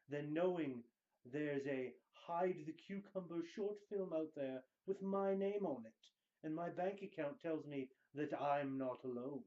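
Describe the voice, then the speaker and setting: A man reading book passages dramatically, with theatrical emphasis and deliberate pauses. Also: a little high, dark tone, lo-fi sound. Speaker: reader of book excerpts.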